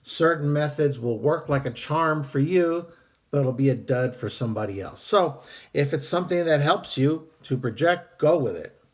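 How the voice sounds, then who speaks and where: A man with a hoarse voice from a cold, giving a casual spoken lesson in a relaxed, halting way with frequent fillers. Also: a little high. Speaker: astral projection teacher. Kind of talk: casual instructional talk.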